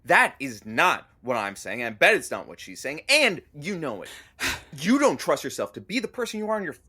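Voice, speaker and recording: A man's voice: on the high side, sing-song, moderate pace, pushed and bright, husky, heavily acted. An actor playing a blunt, sarcastic coworker of a radio host, a man, in a naturalistic audio drama. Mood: exasperated.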